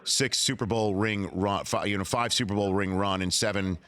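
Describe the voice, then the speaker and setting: A man's voice: fairly low pitch, fairly even intonation, normal speed, fairly bright tone. A man hosting a sports talk show, asking eager questions and often repeating words as he starts them. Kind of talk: radio interview.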